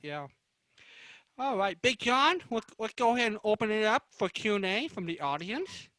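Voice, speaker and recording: Bright-voiced man moderating a panel. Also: sits high, sing-song, moderate pace, dry recording. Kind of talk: hosting a panel interview about old-time radio.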